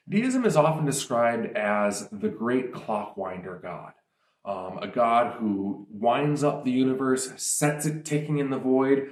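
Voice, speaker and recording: A man giving casual author commentary on his fantasy world, explaining ideas with frequent ums. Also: mid-range voice. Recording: roomy echo.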